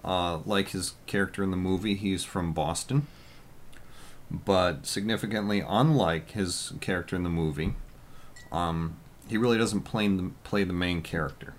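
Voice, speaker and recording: A man with a deep, low voice chats loosely on a podcast. He talks loudly and wanders through his points with plenty of fillers. A faint hiss of noise sits under the recording. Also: normal speed. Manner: loud, rambling with many fillers. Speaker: podcast co-host. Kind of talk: casual podcast chat.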